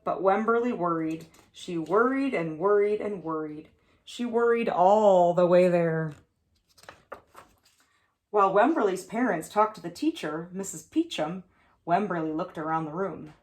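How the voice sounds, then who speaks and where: A woman preschool teacher reading a picture book aloud to young children, with expressive, deliberate phrasing and emphasized words. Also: lowish voice.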